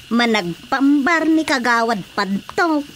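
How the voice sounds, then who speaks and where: A woman's voice: high-pitched, expressive, slow pace. A woman with an Ilocano accent playing an anxious, nagging woman in a radio drama. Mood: worried. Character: anxious, scolding woman fussing over an ailing drinker. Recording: faint background noise.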